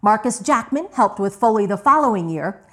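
A woman's voice: mid-range voice, expressive, moderate pace, fairly bright tone. A woman hosting and narrating a scripted radio documentary. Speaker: host narrator.

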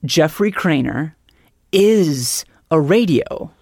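Halting, low-pitched woman storyteller, very dry recording. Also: lively intonation, a little mellow, no echo. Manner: halting, stammering, insistent. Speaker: podcast producer and reporter. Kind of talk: scripted comic storytelling, acted as if real.

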